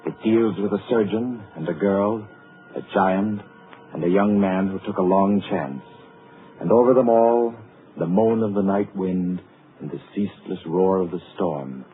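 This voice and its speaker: Low-voiced dramatic radio narrator, man. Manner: dramatic, deliberate, ominous. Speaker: announcer and narrator. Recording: tinny old radio broadcast with music.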